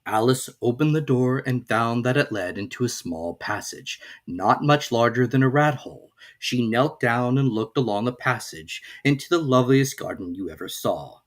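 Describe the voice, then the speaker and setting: A narrator reading a classic children's story aloud in an expressive storytelling style. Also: mid-range voice, moderate pace, few pauses. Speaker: audiobook narrator.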